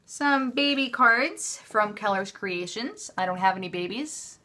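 A woman with a bright, forward voice at an average pitch. She talks casually, as in an unscripted show-and-tell. Her delivery is chatty and offhand, and she often breaks into her own sentences. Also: lively intonation, measured pace. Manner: chatty, self-interrupting, offhand. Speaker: craft haul vlogger. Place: indoors at a craft table.

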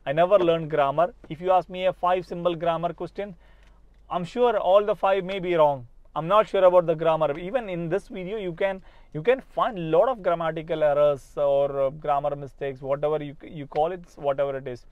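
A man's voice: fairly high pitch, normal speed, no echo, narrow, tinny audio. A man in his thirties from Kerala with a Malayali English accent, a spoken English coach giving an earnest talk straight to the viewer and often restarting his phrases. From Kerala, India. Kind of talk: casual motivational talk to camera. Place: inside a parked car. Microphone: lapel mic.

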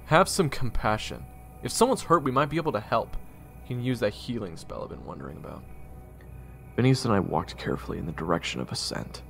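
A man's voice: on the low side, big pitch swings, fairly quick, gravelly, fairly bright tone, heavily acted. A man playing a curious, compassionate young army private who also narrates a fantasy audio drama. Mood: earnest, curious. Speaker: narrator and lead actor in an audio roleplay. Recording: reverberant, with music underneath.

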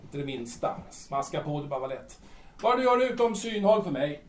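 A man's voice: a little high, very animated. A man playing a volatile, grandiose young playwright in an audio drama, agitated and theatrical, repeating himself. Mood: irritated, jealous. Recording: distant, echoey room with faint noise.

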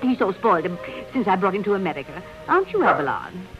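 A woman's voice: lowish voice, very animated, quick pace. An actress in a 1930s radio drama playing a gushing, theatrical society woman who dotes on her pet, effusive and breezy. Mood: gushing apology. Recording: dull 1930s radio recording with music.

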